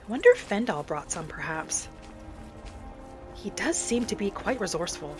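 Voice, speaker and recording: A woman's voice: mid-range voice, very animated, natural voice. Reflective female wood elf played by a woman, music behind. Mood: thoughtful, practical. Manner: musing aloud, measured, speaking in short reflective phrases. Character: gentle female wood elf wanderer, reflective and matter-of-fact. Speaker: roleplay gamer narrating in character. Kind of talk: in-character game roleplay monologue. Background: background music.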